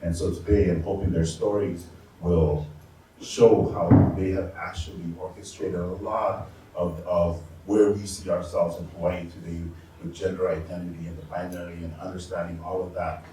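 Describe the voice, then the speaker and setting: A man in his fifties with a very low voice reads his moderator's notes in a halting way, pausing often on fillers. He is heard from a distance in a strongly echoing event room with a faint hiss behind him. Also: level tone, dark tone. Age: 50s. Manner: halting, with frequent filler pauses. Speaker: panel moderator. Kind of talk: reading prepared panel remarks. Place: community arts center event room. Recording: echoey room, distant mic, faint noise.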